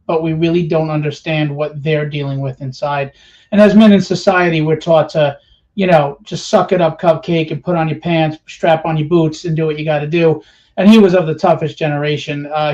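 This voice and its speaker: A middle-aged man speaking as a panel guest, plain-spoken, earnest and a little halting as he casually promotes his work. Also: on the high side, darkish tone. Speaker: panel guest, indie comics writer and publisher. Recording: slightly dull, lo-fi call audio.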